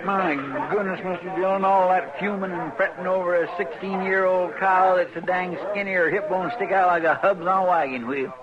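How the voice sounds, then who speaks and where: Man acting a high-voiced, folksy Western sidekick. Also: steady tone, a little fast, hardly any pauses, natural voice. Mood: folksy, chatty. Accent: rural American drawl. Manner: folksy, querulous. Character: folksy, touchy male marshal's sidekick. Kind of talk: old-time Western radio drama acting. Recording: dull vintage radio recording, saloon chatter behind.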